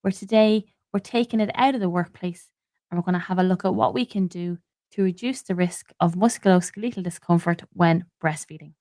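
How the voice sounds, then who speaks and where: A woman's voice: on the low side, brisk, a little mellow. An Irish woman in her thirties hosting a podcast, talking in an earnest, explanatory way while stuffy with a cold and a sore throat. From Ireland. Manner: earnest, explanatory, frequent "um" and restarts. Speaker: podcast host. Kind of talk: informative solo podcast talk.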